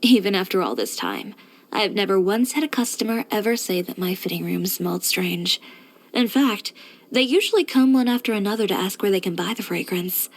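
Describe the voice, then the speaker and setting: A woman's voice: mid-range voice, animated, a little fast. An actress playing a headstrong, composed young woman who runs a boutique, speaking frankly and with dry confidence, as video game character voice lines. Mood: composed, approving. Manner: matter-of-fact, frank, dryly confident delivery.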